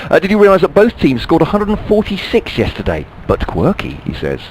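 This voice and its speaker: A man's voice: high voice, wildly swooping pitch, a little fast, very noisy. A man co-hosting radio cricket coverage, jokey and reading out listener emails. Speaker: radio sports co-commentator. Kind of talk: lively radio sport chat.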